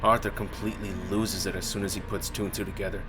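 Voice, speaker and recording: A man with a low voice narrates as the creator of his own comic video. His delivery is that of scripted storytelling and channel talk. Music plays clearly behind his words. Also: level tone, fast talker.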